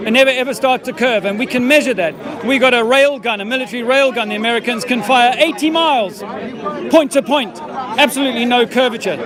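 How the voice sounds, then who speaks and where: A man's voice: extremely high pitch, fairly quick. A man in his fifties, an army veteran filming himself outdoors, talking in a profane, rambling, insistent way. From South Africa. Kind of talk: street-corner conspiracy monologue. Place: outdoor public speaking park. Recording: outdoor crowd noise behind.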